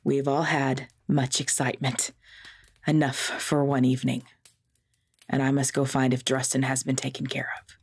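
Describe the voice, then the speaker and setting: A woman acting a commanding, taunting supernatural mentor in a dramatic audio roleplay, with a deliberate, imperious delivery. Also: extremely low pitch, little pitch movement, creaky, breathy edge, full character voice. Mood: firm, brisk. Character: commanding, taunting female supernatural mentor, menacing then caring. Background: soft background music.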